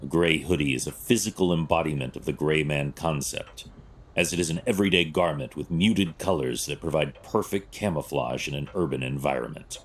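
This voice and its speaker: An actor playing a stern, analytical vigilante detective in an audio drama, terse and clinical, with a gravelly voice. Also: male voice, very deep voice, a little fast. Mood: clinical, measured.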